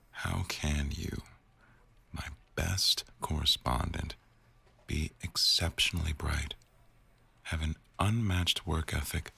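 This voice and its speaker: A man playing a teasing, bossy but doting newsroom boss in an intimate boyfriend roleplay audio, with a wry, unhurried delivery. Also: very deep voice, fairly even intonation, creaky, pushed and bright, breathy edge, heavily acted. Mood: fond, teasing.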